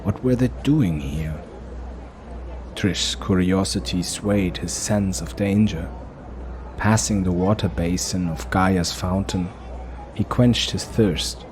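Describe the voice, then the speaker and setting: A man narrates a dark fantasy audio drama with a very low voice and an unhurried, measured delivery. A steady hiss sits under the recording.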